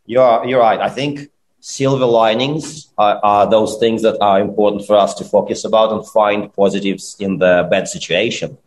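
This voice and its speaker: A man from Ukraine with a Ukrainian accent, speaking as a guest in a measured, earnest way. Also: on the low side, fairly even intonation, poor audio. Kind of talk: casual chat.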